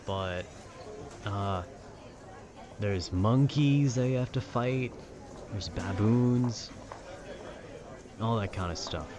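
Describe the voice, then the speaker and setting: A man's voice: lowish voice, takes it slowly, pauses often, crowd noise. A man chatting casually in a laid-back, meandering, slangy way, as if rambling to a friend about video games. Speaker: audio roleplay creator.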